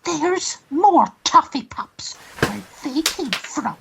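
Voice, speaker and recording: A woman's voice: sits high, expressive, takes it slowly, vocal fry, background noise, exaggerated voice. A woman playing an amorous, possessive lover in a radio play, sighing and cooing theatrically. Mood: possessive, indignant.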